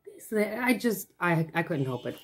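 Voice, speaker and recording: A woman with a hoarse, failing voice chatting casually at her dining table as she unboxes craft kits, rambling and breaking off mid-thought. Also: lowish voice, sing-song, measured pace. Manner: rambling, chatty, self-interrupting. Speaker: craft vlogger. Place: home dining room, seated at a table.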